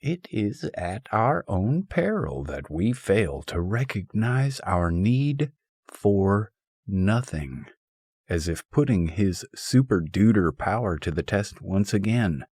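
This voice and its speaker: A man narrating a scripted, humorous essay as an audiobook, reading deliberately and expressively with a wry, mock-scholarly turn. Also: low-pitched, lively intonation, a little mellow, slightly rough, no room sound.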